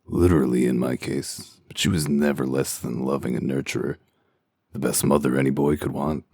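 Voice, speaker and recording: Male actor for hard-boiled wolf detective in comic dub. Extremely low, husky, dry wit, terse delivery. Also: fairly even intonation, moderate pace, a little mellow, no room sound, heavily acted. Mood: sardonic. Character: gruff, cynical wolf detective in human guise.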